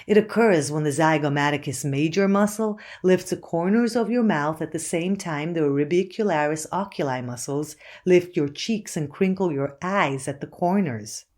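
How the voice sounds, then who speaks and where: A woman's voice: low-pitched, a little mellow. An actress playing a certain, accusing woman interviewed on a true-crime podcast, explaining herself in an assured, pointed way. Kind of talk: acted documentary interview.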